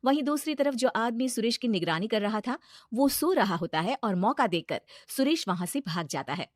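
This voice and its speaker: A woman tells a scripted moral tale as its narrator. Her voice sits fairly high and the sound is dry and close. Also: lively intonation, moderate pace, no echo. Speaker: story narrator.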